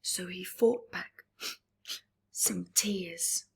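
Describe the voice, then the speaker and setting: A woman's voice: on the low side, steady tone. A woman reading a rhyming picture book aloud at story time, stopping to chat with young listeners and dropping into whispers. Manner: slow rhyming read-aloud with chatty asides to young listeners; drops to breathy whispers. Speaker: storybook reader.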